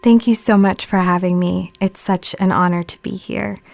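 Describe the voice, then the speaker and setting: An actress playing a serene, earnest film star who leads a guided meditation in a soft, soothing, deliberate voice for an audio play. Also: female voice, medium pitch, steady tone, vocal fry, close to an everyday voice.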